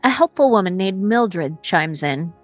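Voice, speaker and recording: A woman's voice: mid-range voice, animated, unhurried, dry recording, soft background music. A woman narrator reading screenplay action and scene descriptions at a table read. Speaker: narrator reading stage directions.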